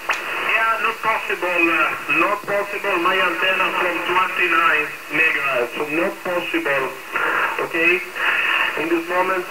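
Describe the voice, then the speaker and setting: A man's voice: high-pitched, roomy sound. A man from Italy with an Italian accent, making a ham radio contact in short clipped English phrases over a shortwave transmission. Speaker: amateur radio operator. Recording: noisy shortwave radio through speaker in room. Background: loud radio static.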